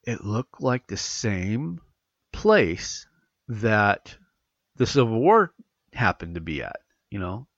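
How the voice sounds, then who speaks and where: A man's voice: on the low side, very animated, slow pace, a bit bright, no room sound, highs cut off. A man hosting a casual podcast, congested from allergies, rambling with many fillers and restarts as he reads out news. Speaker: podcast host. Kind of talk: casual podcast chat, reading news aloud.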